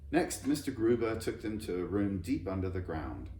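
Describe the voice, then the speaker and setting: A man narrating a children's story in an expressive, scripted storytelling style. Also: lowish voice, moderate pace. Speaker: storybook reader. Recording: roomy echo, background noise.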